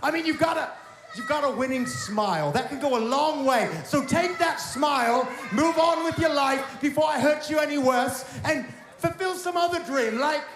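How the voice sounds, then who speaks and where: Cocky wrestler with a put-on British accent. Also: male voice, very high-pitched, normal speed. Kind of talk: wrestling promo. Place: wrestling arena, indoors. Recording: echoey arena with crowd noise.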